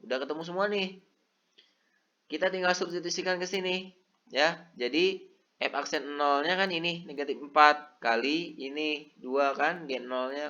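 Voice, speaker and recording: Guy walking through math problems, chatty, keeps checking in, bright voice. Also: medium pitch, dull audio. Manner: relaxed, chatty, checks in with the viewer often. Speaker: math tutor. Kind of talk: casual step-by-step lesson.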